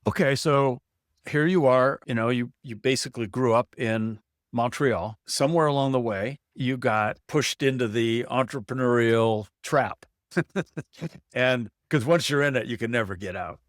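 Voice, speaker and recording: A man's voice: mid-range voice, moderate pace, no room sound, studio quality. A man hosting a startup podcast, reading a polished intro and then chatting casually with his guest. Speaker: podcast host. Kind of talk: scripted intro then casual interview chat.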